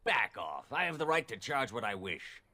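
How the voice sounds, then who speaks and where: A man's voice: mid-range voice, very animated, normal speed, bright, forceful tone. A man voicing a greedy, haughty merchant in a fantasy video game, defensive and full of indignant bluster. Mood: threatening. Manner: indignant, self-justifying bluster.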